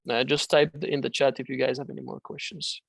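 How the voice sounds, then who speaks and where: A man's voice: mid-range voice, level tone, brisk, vocal fry, no echo, rough recording. A man teaching a live online trading class, coaching casually and reading out questions from the chat. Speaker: trading instructor.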